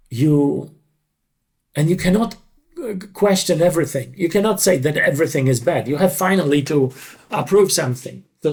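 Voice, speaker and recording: Creaky-voiced man, deliberate interviewee. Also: on the high side, a little mellow. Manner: deliberate, halting, emphatic short phrases. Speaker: dubbing creative director. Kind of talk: reflective interview talk.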